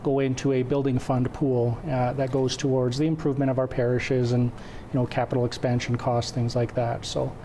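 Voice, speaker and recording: A man's voice: mid-range voice, little pitch movement, normal speed, dark tone, slight background noise. A man in his forties from western Canada whose first language is Croatian, speaking as an event organizer in an informal TV interview. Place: indoor TV studio. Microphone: lapel mic.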